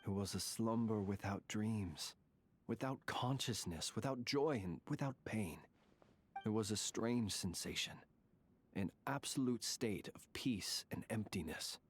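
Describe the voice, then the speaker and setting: An actor playing an ancient dragon prince in a video game, calm and reflective, with formal, measured, courteous speech. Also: male voice, on the low side, slightly rough. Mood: wistful, solemn. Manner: formal, measured, old-fashioned courteous phrasing. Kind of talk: scripted game character dialogue.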